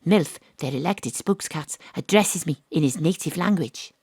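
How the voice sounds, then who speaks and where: A world-weary female detective in an audio drama. Her voice sits a little low and is dryly recorded. She narrates with wry, deadpan noir delivery, like someone who has seen it all. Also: normal speed, breathy edge, no room sound. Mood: wry, brooding. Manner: deadpan, dry. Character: female private detective, world-weary, wry, hard-boiled ex-rugby star.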